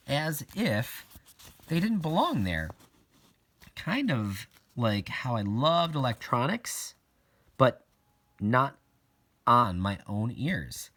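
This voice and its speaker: A man reading a children's novel aloud in an expressive storytelling style, with deliberate, dramatic pauses. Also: fairly low pitch. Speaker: audiobook reader.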